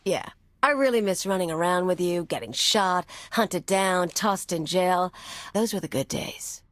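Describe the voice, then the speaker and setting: An actress voices a tough anarchist woman for a video game. Her voice sits fairly low in a dry studio sound, snide and mocking, with a bitter edge. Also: unhurried, no echo, natural voice. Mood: bitter sarcasm. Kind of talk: video game cutscene acting.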